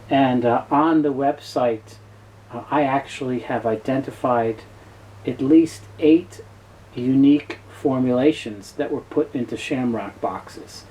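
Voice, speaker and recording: A man presenting an informal explainer in a halting, conversational way, repeating words as he goes. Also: medium pitch, darkish tone, slight background noise, low-quality recording. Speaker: presenter and tape seller. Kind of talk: informal explainer talk on video.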